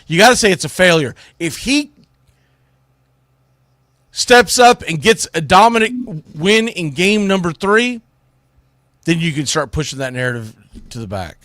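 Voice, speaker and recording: A man with a slightly high-pitched voice hosts sports talk in a dry, close-sounding space. He gives unscripted analysis in a blunt, opinionated way, leaning on key phrases for emphasis. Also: fairly bright tone, dry recording. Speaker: sports radio show host.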